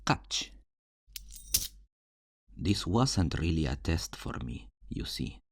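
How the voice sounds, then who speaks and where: Male actor as charming rogue thief, low-pitched, slow delivery, smug, teasing, flirtatious, dry sound, fantasy ASMR roleplay. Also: very animated, breathy edge, no echo, full character voice. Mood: teasing, admiring. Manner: teasing, theatrical, smugly self-assured, uses endearments.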